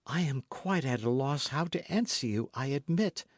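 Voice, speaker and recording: A man reading an audiobook as an anxious, guarded young gentlewoman who speaks in a formal, fretful, pleading way. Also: mid-range voice, lively intonation, moderate pace, no room sound, clean studio sound, voice pushed up, lightly acted. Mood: anxious, explaining.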